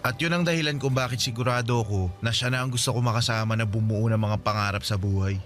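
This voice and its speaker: A man's voice: mid-range voice, quick pace, a bit bright, close to an everyday voice. A man playing a devoted young adult son in a Filipino radio drama, speaking politely to his mother. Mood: hopeful, sincere.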